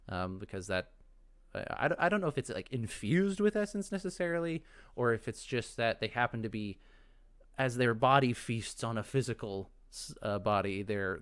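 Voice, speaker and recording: A man giving casual video game commentary, rambling and musing aloud as he thinks things through. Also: medium pitch. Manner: rambling, musing, talks to himself. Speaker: let's play host.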